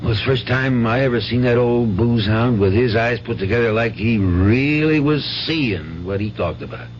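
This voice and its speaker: A man's voice: medium pitch, moderate pace, close to an everyday voice. An actor playing a good-natured, rambling rural American father with a stammering, folksy delivery in a 1970s radio drama. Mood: easygoing. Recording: dull hissy 1970s radio broadcast with hum.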